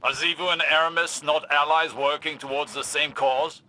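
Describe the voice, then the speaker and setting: An actor playing a grave, vigilant scholar-warrior mentor in a video game, solemn and formal. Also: male voice, on the high side, fairly even intonation, moderate pace, sharp tone. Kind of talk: scripted video game acting.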